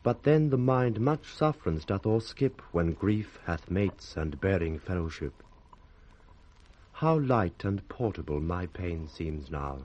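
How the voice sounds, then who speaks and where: Casting: reflective, grieving British nobleman, deep voice, unhurried measured verse, Shakespearean radio drama. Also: darkish tone, muffled recording, slight background noise. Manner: measured, rhyming verse delivery. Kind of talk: 1960s Shakespearean radio soliloquy.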